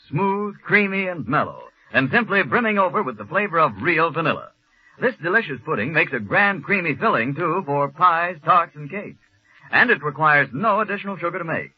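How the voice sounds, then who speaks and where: A man's voice: fairly high pitch, husky. An American man reading a 1940s radio commercial, savoring and stretching key words. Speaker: radio announcer. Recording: muffled vintage 1940s radio broadcast.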